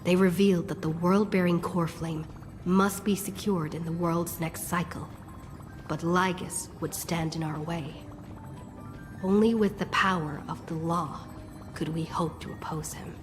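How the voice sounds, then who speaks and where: A woman voicing a solemn heroine who recounts a fantasy quest in measured, scripted video game narration. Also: fairly low pitch, fairly even intonation, close to an everyday voice. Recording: long reverb under game music. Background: game music.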